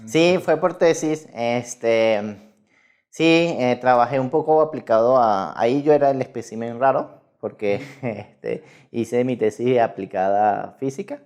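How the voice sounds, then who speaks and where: A man's voice: medium pitch, a little slow, darkish tone. A Venezuelan man in his thirties giving casual, rambling interview answers with frequent self-corrections. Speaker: guest researcher in mathematics.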